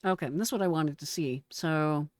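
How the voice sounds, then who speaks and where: Middle-aged american lady reacting casually, slangy and blunt, lowish voice, dry close sound. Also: brisk, no echo. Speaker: retired emergency dispatcher reacting to a call recording.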